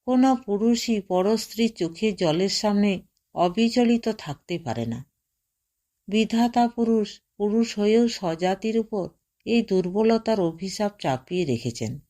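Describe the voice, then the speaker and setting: A woman narrating a short story as an audiobook, reading in a measured way and softly voicing the dialogue. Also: medium pitch, animated.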